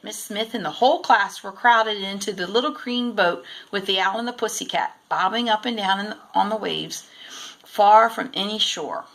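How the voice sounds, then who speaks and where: A woman narrates a children's story aloud with lots of expression. Her voice is bright and lively, with some creak, and she tells it deliberately. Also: mid-range voice. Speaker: storybook reader.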